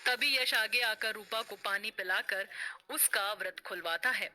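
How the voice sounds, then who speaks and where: A woman's voice: mid-range voice, unhurried, bright, forceful tone. A woman narrating a scripted story in a dramatic style.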